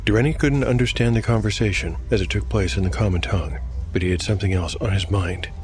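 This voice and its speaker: A man's voice: lowish voice, fast. A man narrating a fantasy tabletop game as storyteller and game master, in scripted style. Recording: music under voice. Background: clear music.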